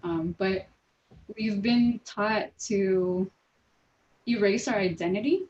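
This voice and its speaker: Lo-fi, earnest woman speaking deliberately. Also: mid-range voice, darkish tone. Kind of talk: casual panel discussion. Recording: dull lo-fi audio.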